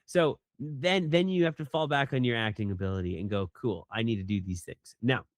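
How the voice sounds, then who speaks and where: A man, a voice actor, chatting casually in an interview and talking animatedly, often jumping into a higher voice when he quotes people. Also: brisk, no room sound. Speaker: voice actor guest.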